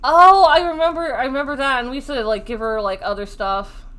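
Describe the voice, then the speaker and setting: A woman's voice: high voice, a little fast, fairly bright tone. A woman chatting casually over gameplay, reminiscing, giggling and swearing lightly. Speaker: co-host.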